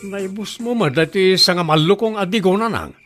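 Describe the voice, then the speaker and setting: A man's voice: high voice, moderate pace, a bit bright, soft background music. An actor with an Ilocano accent playing a grown son who questions and teases his old mother in a radio comedy drama. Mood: teasing, weary.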